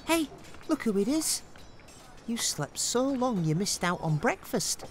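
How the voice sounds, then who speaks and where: A woman voicing a cocky, teasing young male student knight, animated and brash, in a fantasy audio drama. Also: a little high, a little slow, a little hiss or hum. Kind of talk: scripted fantasy audio roleplay.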